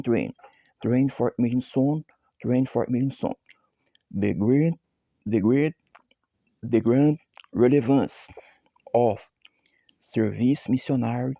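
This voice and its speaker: A Brazilian man with a Portuguese accent speaking halting English in a slow, repetitive, chant-like monologue. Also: mid-range voice, dark tone, dry recording, muffled recording.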